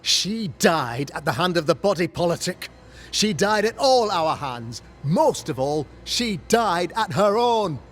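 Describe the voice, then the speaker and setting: A pompous, zealous governor in a period video game. His voice sits high and ranges widely, delivered at a slow, oratorical pace. He sounds defensive and righteous, like a man used to preaching at his flock. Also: animated, a bit bright. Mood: defensive, indignant. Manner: theatrical, oratorical, indignant. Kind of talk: dramatic video game acting in period English.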